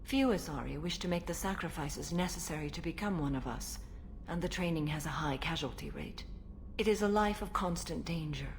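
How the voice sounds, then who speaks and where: A woman playing an ancient, stern and serene alien warrior in a video game, speaking formally and with measured solemnity. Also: sits low, slight background noise. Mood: solemn, resolute. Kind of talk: video game dialogue acting.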